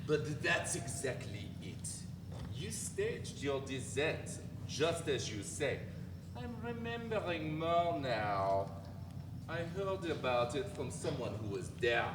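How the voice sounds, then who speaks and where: A man's voice: a little high, big pitch swings, takes it slowly. A man in a live dramatic reading playing a pompous, sarcastic French intellectual with a mocking, declamatory manner. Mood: sardonic. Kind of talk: dramatic reading of a novel before an audience. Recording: echoey hall, noisy live event recording.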